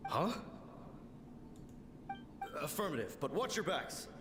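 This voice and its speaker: A man's voice: a little high, sing-song, slow, reverberant, noisy background. A man voicing a loyal, brave samurai soldier in a video game, speaking formally and earnestly. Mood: determined.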